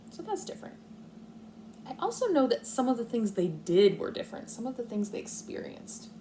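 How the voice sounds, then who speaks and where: A woman's voice: mid-range voice, darkish tone, slight background noise. A woman speaking as a kindergarten teacher, explaining and thinking aloud step by step in a read-aloud lesson for young children.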